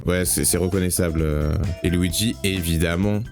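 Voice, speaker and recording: A man's voice: low voice, fairly even intonation, moderate pace, music in the background. A man giving casual reaction commentary as a video host, slangy and blunt.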